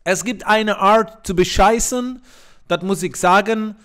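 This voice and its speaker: A man's voice: sits high, a little slow, fairly bright tone, clear, resonant tone. A man playing an overconfident photo retoucher who explains in broken German mixed with English. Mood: mock-serious. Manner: boastful, mock-serious explaining. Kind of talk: parody tutorial.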